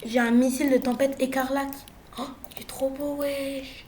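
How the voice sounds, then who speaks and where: High-pitched girl with a cold, chatty. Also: flat delivery, moderate pace, echoey room, a little hiss or hum. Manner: chatty, playful, swings from loud exclamations to mumbles. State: has a cold. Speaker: gaming youtuber. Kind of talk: casual gameplay commentary.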